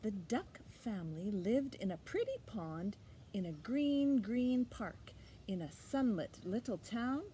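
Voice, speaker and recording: A woman's voice: mid-range voice, slow. A woman hosting storytime for young children, teaching in a sing-song way with simple questions and repeated key words. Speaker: children's library storytime host. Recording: clear steady background noise.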